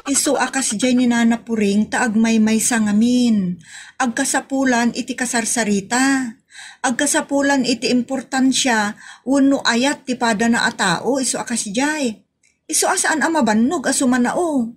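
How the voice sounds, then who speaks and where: A woman acting a plaintive, talkative Filipino woman in a comedy audio drama, with an Ilocano accent and drawn-out way of explaining. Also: a little high, breathy edge. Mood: sympathetic, explaining.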